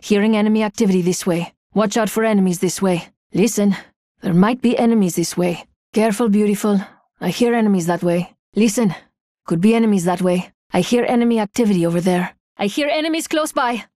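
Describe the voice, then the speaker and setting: A woman's voice: mid-range voice, fairly quick, warm tone, dry recording, studio quality, natural voice. A woman playing a careful, alert fighter in a shooter video game.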